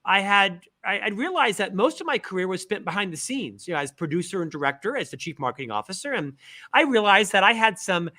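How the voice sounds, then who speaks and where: Animated middle-aged man telling stories. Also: high voice, fast talker. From Central Florida. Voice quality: stutterer. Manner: animated storyteller, self-deprecating humor. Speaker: guest, author and podcast host. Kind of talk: casual interview talk.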